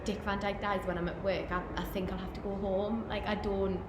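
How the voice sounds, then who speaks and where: A woman's voice: medium pitch, little pitch movement, a little fast. Chatty woman in a noisy echoey hall. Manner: chatty, earnest, rambling with many likes. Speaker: livestream co-host. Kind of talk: casual chat. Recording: echoey hall with background noise.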